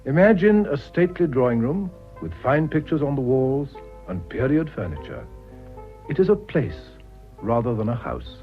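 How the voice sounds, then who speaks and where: A man's voice: mid-range voice, very animated, moderate pace. Male announcer setting the scene for a radio play; lo-fi recording over faint music. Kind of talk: scripted scene-setting narration. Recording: dull lo-fi vintage radio broadcast.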